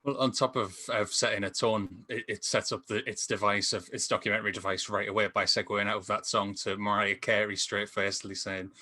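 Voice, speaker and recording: Male podcast reviewer, lowish but bright, forceful voice, casual and prone to chuckling. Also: level tone, fairly quick, few pauses. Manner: chuckles often while talking. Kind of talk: casual film discussion.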